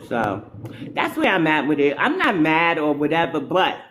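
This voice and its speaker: A woman's voice: low-pitched, very animated, moderate pace, a bit bright, a little hiss or hum. A woman with a New York accent hosting a livestream, talking straight to her chat in an opinionated, slangy way. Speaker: livestream host. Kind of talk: casual livestream talk.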